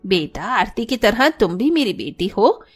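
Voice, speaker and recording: A woman's voice: mid-range voice, big pitch swings, a little slow, breathy edge, soft background music. A woman playing a kind, reassuring middle-aged mother in a cartoon story. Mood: affectionate encouragement.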